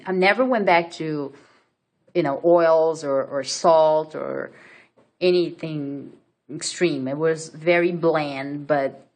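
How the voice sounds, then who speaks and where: A woman's voice: low-pitched, slow pace, darkish tone. A woman from Brazil with a Brazilian Portuguese accent, telling her own story to camera in a hesitant way, full of fillers and restarts. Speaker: vlogger. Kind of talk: casual personal story to camera.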